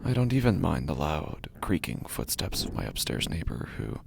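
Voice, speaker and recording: An actor playing an anxious, obsessive man narrating his sleepless nightmares in a halting horror audio monologue. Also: rumbling low, big pitch swings, vocal fry, noisy background, full character voice. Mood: obsessive unease. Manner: halting, hushed, often breaking into whispers. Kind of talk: first-person horror audio fiction monologue.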